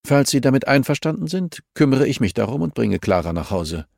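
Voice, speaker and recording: A man's voice: medium pitch, big pitch swings, brisk, gravelly, darkish tone, dry recording, studio quality, voice pushed up. A man voicing a determined, insistent woman investigator in an audiobook, with a questioning, defiant manner.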